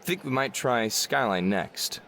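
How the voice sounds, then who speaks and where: A man's voice: mid-range voice, lively intonation, measured pace, creaky, fairly bright tone. A man playing a kindly, easygoing, slightly weary older brother with a folksy manner in a visual novel.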